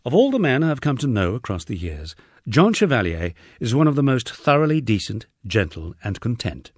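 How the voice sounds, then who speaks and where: A man narrates a memoir in a lively, varied delivery with wry understatement. His voice sits fairly low and has a husky grain. The sound is dry and close, suited to an audiobook. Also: fairly quick, dry recording. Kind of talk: scripted first-person memoir narration.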